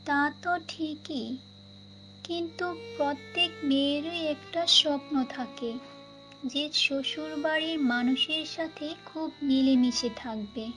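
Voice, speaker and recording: A woman voicing a wronged, gentle young wife in a cartoon fairy tale, plaintive and resigned. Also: sits high, a little slow, low-quality recording. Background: background music.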